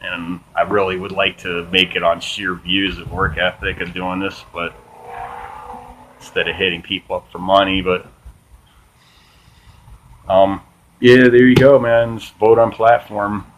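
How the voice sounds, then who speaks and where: Flat, monotone low-voiced man. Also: a little slow, pauses often, a little hiss or hum, poor audio. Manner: flat monotone delivery with many fillers; swears casually, says "man" often. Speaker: political commentary channel host. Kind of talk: casual political commentary, reading from an article.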